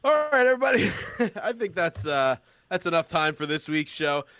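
A man's voice: high-pitched, very animated, normal speed, pushed and bright, no echo. A man hosting a sports podcast, wrapping up the show with jokey quips. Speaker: podcast host. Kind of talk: casual comedic sports chat.

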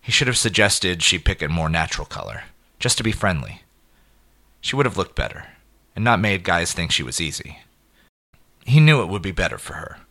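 A man narrating a scripted short story in an expressive, measured way with clipped phrases. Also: deep voice.

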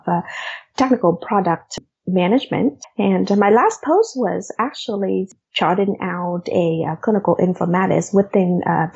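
Gravelly woman with a Vietnamese accent. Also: medium pitch, warm tone. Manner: earnest, many fillers. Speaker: guest. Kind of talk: career interview. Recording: muffled lo-fi audio.

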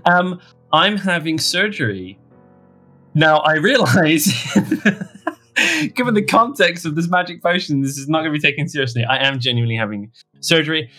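A man's voice: on the high side, very animated, normal speed, pushed and bright. Jokey male podcast host over guitar music. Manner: jokey, rambling, self-mocking. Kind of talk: casual comedic chat.

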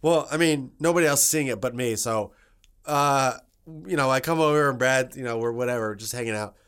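Brief: young male podcast co-host, mid-pitched, loose swearing banter in a home studio. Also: brisk, a bit bright, clear, resonant tone. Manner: rambling, swearing, laughing banter. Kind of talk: casual chat.

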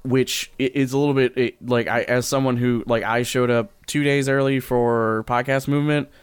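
A man's voice: medium pitch, fairly even intonation, normal speed, smooth, no room sound. An American man hosting a casual podcast chat in a wry, joking way. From US Midwest. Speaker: podcast host.

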